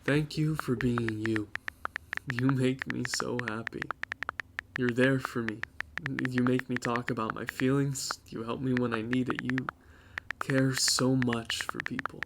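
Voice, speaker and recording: A man's voice: medium pitch, fairly even intonation, a little slow, very noisy, lightly acted. A man playing an earnest, sweet young boyfriend in an audio drama. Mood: adoring. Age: young adult.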